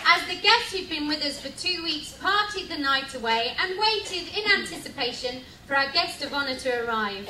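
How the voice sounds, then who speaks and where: A woman narrating a scripted radio play live, reading out sound-effect cues in a lively way. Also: sits high, normal speed, few pauses. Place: cruise ship venue before a live audience. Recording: echoey distant recording, loud crowd noise.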